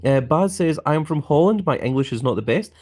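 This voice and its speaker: A man's voice: fairly high pitch, animated, a little fast, darkish tone. A young man live-streaming a mobile game, chatting casually and reading viewers' comments aloud with frequent ums.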